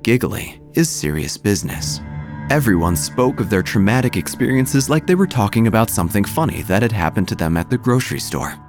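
A man's voice: on the low side, very animated, fairly quick, over music. A man narrating scripted comic horror in a wry, theatrical manner.

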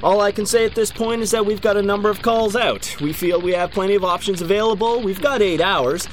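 An actor playing an evasive, blustering big-city mayor who stammers through officialese at a mock press conference. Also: male voice, sits high, fairly quick, a little hiss or hum, lightly acted, poor audio. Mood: officious. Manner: hedging officialese, stammering, defensive repetition. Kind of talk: mock press conference in a comedic audio drama.

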